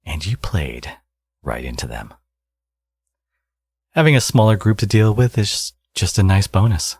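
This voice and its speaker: Casting: smug villain dad for audio roleplay, male actor, low-pitched, sardonic and teasing, with swooping, expressive delivery. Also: measured pace, gravelly, husky, dry recording, studio quality, heavily acted. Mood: teasing, coaxing. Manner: teasing, sardonic, drawling threats. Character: smug supervillain man, teasing ex-enemy and doting father. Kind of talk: ASMR audio roleplay, intimate acted monologue.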